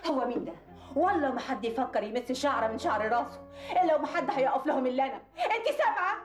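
A rapid, headstrong Egyptian woman. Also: high-pitched, lively intonation, roomy sound, soft background music. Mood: defiant. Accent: Egyptian Arabic. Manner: defiant, headstrong, protective. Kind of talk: TV drama acting.

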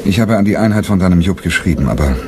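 An actor playing a proud, caring but guarded theatre leader in a radio drama, sometimes halting. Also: male voice, low-pitched, expressive, background noise. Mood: gentle concern.

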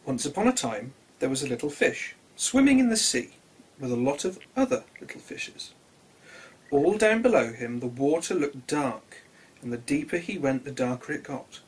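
A man's voice: mid-range voice, animated, moderate pace, slightly rough, poor audio. A man in his thirties reading a children's storybook expressively, speaking simply to young listeners.

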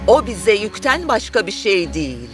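A woman playing a cruel, scolding stepmother with a fierce temper in an animated fairy tale. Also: mid-range voice, moderate pace, faint music behind, lightly acted. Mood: bitter. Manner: scolding, accusing.

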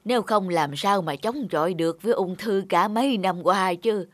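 A man playing a serene, grateful old man in a cartoon, talking calmly and kindly. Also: high voice, normal speed, no echo. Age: older. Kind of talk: cartoon dialogue.

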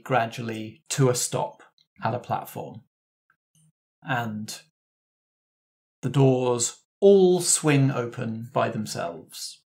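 Slow, measured game master. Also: male voice, medium pitch, creaky. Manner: slow, measured scene description. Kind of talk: narrating a tabletop game.

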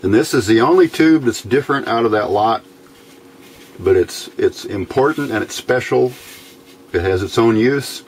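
A man giving an informal, unscripted hands-on tutorial in a plain-spoken, halting way, recorded indoors at a workbench on a camera mic. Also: mid-range voice, animated, a little hiss or hum. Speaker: host of a hands-on electronics tutorial.

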